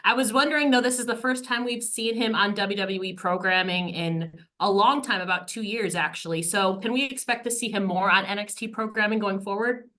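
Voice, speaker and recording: Woman, bright forceful voice, middle pitch, reporter, press call question. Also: brisk, hardly any pauses.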